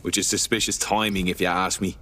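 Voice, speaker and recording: A man's voice: deep voice, flat delivery, brisk, sharp tone, slight background noise. A man playing a sceptical, blunt resistance recruit in a video game cutscene, speaking with sarcasm.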